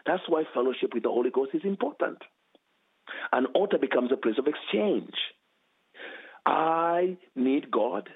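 A man's voice: a little slow, gravelly, pauses often, breathy edge. A man with a heavy accent, a guest author teaching with emphasis and repeating key phrases. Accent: heavy foreign accent. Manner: emphatic, repeats phrases, animated swings in pitch. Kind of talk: preaching teaching interview. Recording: tinny phone line.

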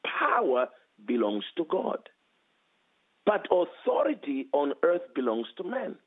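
A man with a heavy accent, a guest author teaching with emphasis and repeating key phrases. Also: a little slow. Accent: heavy foreign accent. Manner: emphatic, repeats phrases, animated swings in pitch. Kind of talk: preaching teaching interview. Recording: tinny phone line.

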